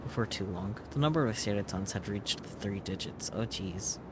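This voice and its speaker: A man's voice: lowish voice, normal speed, noisy background. A man streaming a visual novel, reading the game's text aloud as dramatic narration. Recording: loud music behind the voice.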